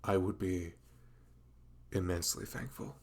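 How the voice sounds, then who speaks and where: A man's voice: sits low, steady tone, unhurried, darkish tone, breathy edge. A man with a velvety voice making a casual, earnest, rambling announcement as an audio creator.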